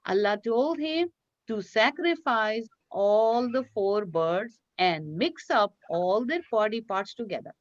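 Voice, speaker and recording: Casting: female children's teacher, fairly high voice, animated read-aloud with questions and repetition, dull online-class sound. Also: takes it slowly, no echo. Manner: animated, explanatory, repeats phrases and questions listeners.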